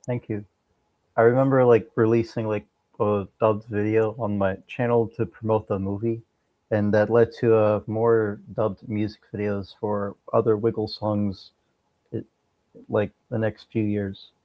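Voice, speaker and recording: A man answering as a guest in a casual interview, halting and often repeating words mid-sentence. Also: fairly low pitch, level tone, dry recording, muffled recording. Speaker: interview guest, amateur musician.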